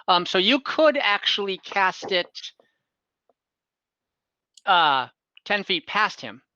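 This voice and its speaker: A man runs an online tabletop session as game master, working through rules aloud with frequent restarts and fillers. His voice is bright and a little high, and the dry home mic gives it a dull lo-fi edge. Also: sing-song, slow pace, smooth, no room sound. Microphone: home mic on an online call.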